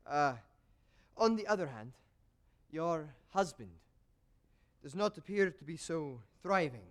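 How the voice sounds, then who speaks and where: An actor in a stage play voicing a scheming, lecherous older court composer with formal, courtly, insinuating phrasing. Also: male voice, on the high side, lively intonation, slow, pauses often, fairly bright tone. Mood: courtly, hesitant. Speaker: stage actor in a school one-act play. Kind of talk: theatrical stage period drama acting.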